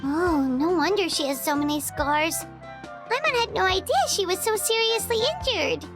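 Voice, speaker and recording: A woman's voice: very high voice, lively intonation, normal speed. A woman voicing a tiny, chirpy, candid childlike fairy companion in a video game. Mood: sympathetic. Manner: speaks of self in third person, childish. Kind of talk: video game cartoon-like voice acting. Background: music.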